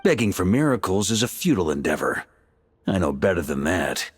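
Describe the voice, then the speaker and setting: Animated, bold knight hero. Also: male voice, deep voice, fairly quick, slightly rough, no room sound, full character voice. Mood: wry, assured. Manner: theatrical, assured. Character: bold, defiant, seasoned male knight, confident hero. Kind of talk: scripted video game acting. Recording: voice over game music.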